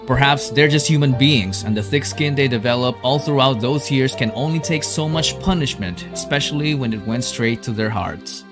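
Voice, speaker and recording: A man's voice: mid-range voice, normal speed, hardly any pauses. A man reading scripted narration as a documentary narrator. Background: background music.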